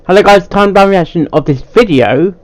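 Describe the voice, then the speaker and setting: A man hosting a casual, unscripted reaction video, speaking haltingly and often stumbling, repeating and restarting his phrases. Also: sits high, dry recording.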